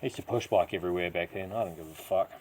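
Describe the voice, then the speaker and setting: A man voicing a rough-living taxi driver with a dark past, rambling confidingly and insistently in slangy New Zealand speech. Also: sits low, racing, a little hiss or hum, lightly acted. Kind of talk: character dialogue in audio story.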